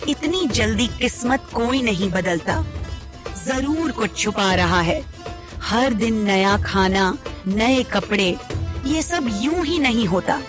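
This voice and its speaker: A woman playing a nosy, suspicious neighbour's wife in a cartoon village story. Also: a little high. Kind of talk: cartoon moral story acting.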